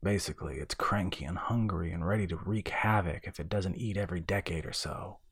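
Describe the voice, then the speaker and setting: Man acting an excitable husky young brother. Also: low-pitched, fairly quick. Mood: wry. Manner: blunt, colloquial.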